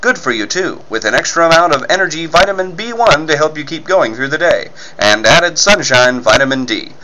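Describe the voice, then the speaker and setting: A man's voice: fairly high pitch, animated, fairly quick, a crowd in the background, low-quality recording. A man reading scripted narration and ad copy as an emphatic, punchy 1940s-style radio announcer. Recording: faint background noise.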